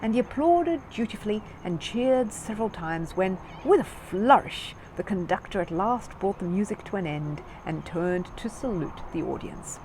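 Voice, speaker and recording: A woman's voice: medium pitch, lively intonation, normal speed, hardly any pauses, a little mellow, a little hiss or hum, low-quality recording. A woman narrating a children's story from a script as a storyteller.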